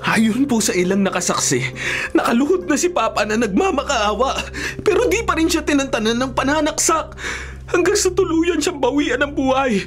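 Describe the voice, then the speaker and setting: Grieving, vengeful son, pitch swinging widely. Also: male voice, animated, moderate pace, fairly bright tone, soft background music. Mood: anguished. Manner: emotional, swelling from low to anguished. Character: grieving, vengeful adult son, bitter and self-blaming. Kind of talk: Filipino radio drama acting.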